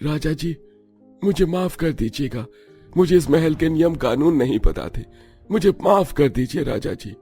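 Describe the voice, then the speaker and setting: A man's voice: a little high, lively intonation, a little slow, warm tone, breathy edge, soft background music, exaggerated voice. A man voicing a humble, downtrodden palace servant in a cartoon, pleading and plaintive. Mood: pleading, fearful. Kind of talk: cartoon voice acting.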